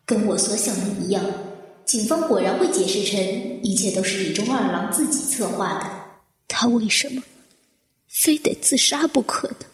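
A woman playing an injured fire survivor in an audio drama, with a dramatic, deliberate delivery. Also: mid-range voice, lively intonation, close to an everyday voice. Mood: cool, brooding. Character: injured woman fire survivor, anguished then coolly guarded, narrating her ordeal. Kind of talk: Chinese audio drama acting with introspective narration. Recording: echoey hall-like reverb, music underneath.

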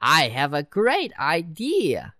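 A proud city mouse who loves cakes and looks down on country life, voiced by a woman reading a storybook aloud. The voice is low-pitched, very slow and swoops widely in pitch, recorded close and dry. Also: huge pitch swings, a bit bright, dry recording, heavily acted. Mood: eager. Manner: slow, bouncy storybook voice with big pitch swings. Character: boastful, worldly city mouse.

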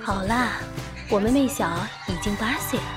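A woman's voice: a little high, normal speed. A woman hosting a scripted radio show, mixing monologue with playful, teasing big-sister banter. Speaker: online radio host. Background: music.

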